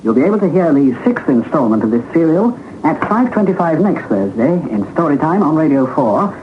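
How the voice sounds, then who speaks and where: A man's voice: fairly high pitch, big pitch swings, fairly quick. A British man reading a formal 1960s broadcast announcement as a radio continuity announcer. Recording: thin, narrow-band old radio, faint noise.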